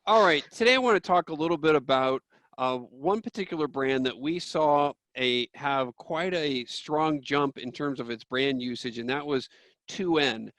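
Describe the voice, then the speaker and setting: A man's voice: on the high side, normal speed, fairly bright tone, no echo, low-quality recording. A man hosting an interview, asking prepared questions in a trade media style. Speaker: interviewer, trade publication host.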